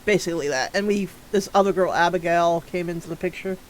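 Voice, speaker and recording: A man's voice: sits high, quick pace, a little hiss or hum, low-quality recording. A man streaming a detective game, chatting casually and recapping the story for his viewers with small stumbles. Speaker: gaming streamer. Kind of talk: casual let's-play commentary.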